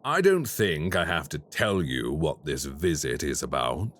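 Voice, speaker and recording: An actor playing a polite, quietly menacing male crime boss in an audio roleplay. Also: rumbling low, very animated, heavily acted. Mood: smooth menace. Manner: slow, courteous and quietly menacing.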